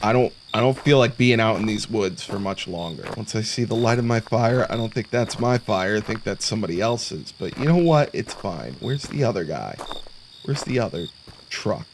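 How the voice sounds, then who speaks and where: A man's voice: fairly low pitch, animated, normal speed, a little hiss or hum. A man giving casual let's play commentary on a horror game, sarcastic and jokey as he mutters to himself. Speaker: gaming content creator. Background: horror game sounds of crickets and insects.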